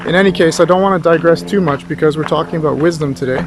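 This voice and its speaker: Male tutor, fairly high voice, informal lecturing, rambling and reflective, some background noise. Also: fast. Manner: rambling, thoughtful, with rhetorical questions. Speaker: philosophy tutor.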